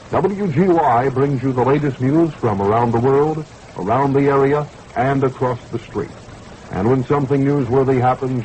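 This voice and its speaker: Vintage American radio announcer, faint noise. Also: male voice, mid-range voice, darkish tone. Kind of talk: 1960s scripted radio promo narration. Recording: slightly noisy vintage radio recording.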